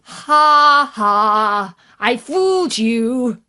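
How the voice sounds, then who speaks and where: A woman voicing a mischievous young shepherd boy in a storybook, calling out loudly. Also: lively intonation, drawn-out words, full character voice. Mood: gleeful taunting. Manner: loud, high, gleeful calling. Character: mischievous, bored shepherd boy crying out pranks.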